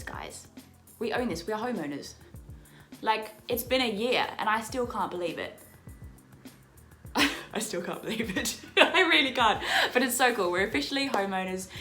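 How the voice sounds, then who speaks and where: A woman's voice: on the high side, pushed and bright. A young Australian woman whose accent is turning a little English, chatting in a bubbly way from her apartment, repeating words for emphasis and laughing often. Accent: Australian, picking up some English. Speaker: vlogger, musical theatre performer. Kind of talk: casual chatty vlog to camera.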